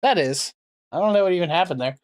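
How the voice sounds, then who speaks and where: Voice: medium pitch, lively intonation, fast. A prank caller and streamer chatting casually, with a sarcastic, crude, rambling way of talking. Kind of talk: casual livestream chat and prank calling.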